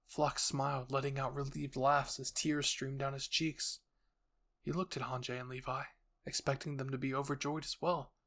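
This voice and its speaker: Mid-pitched fan-fiction narrator. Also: little pitch movement, normal speed, a bit bright. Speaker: narrator and voice performer.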